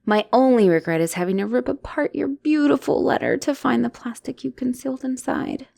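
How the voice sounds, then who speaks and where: A woman's voice: high-pitched, a little fast, creaky. A woman playing an obsessive, lovestruck asylum patient in a dramatic roleplay audio, theatrical and doting with a menacing edge. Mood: playful, scheming. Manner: theatrical, doting, swinging between gushing and hushed menace.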